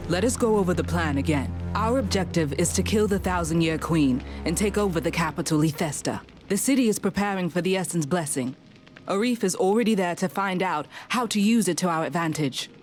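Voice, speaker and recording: An actor playing a rebel leader in a video game cutscene, measured and commanding as he plans an attack. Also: sits high, faint music behind, lightly acted. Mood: resolute, measured.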